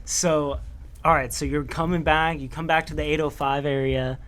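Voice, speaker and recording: A man hosting an interview podcast, asking questions in a relaxed, slangy way. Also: fairly high pitch, normal speed. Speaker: podcast host. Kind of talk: casual interview.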